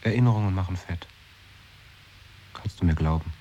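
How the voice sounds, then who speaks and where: A man's voice: deep voice, moderate pace, mellow, dull audio, a little hiss or hum, natural voice. An actor in a radio play, playing a brooding man who muses aloud and often sinks into a whisper. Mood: wistful. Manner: musing, often drops to a whisper. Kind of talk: 1980s radio play acting.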